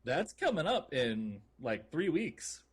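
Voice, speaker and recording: A man's voice: on the high side, very animated, slow pace, husky. A man co-hosting a gaming podcast, talking in an informal, conversational way. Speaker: podcast co-host. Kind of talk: casual gaming podcast chat.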